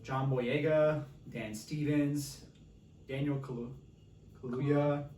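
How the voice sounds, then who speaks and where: A man's voice: medium pitch, steady tone, takes it slowly, a little mellow. A man hosting a podcast, chatting casually about films. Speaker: podcast host. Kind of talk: casual film discussion. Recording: roomy, distant mic, faint noise.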